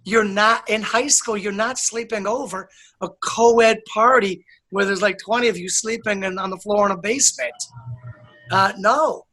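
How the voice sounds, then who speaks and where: A man speaking as an interview guest, calm and earnest, laying down his points firmly. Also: sits high, moderate pace, poor audio. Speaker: guest, author and educator. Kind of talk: casual interview chat.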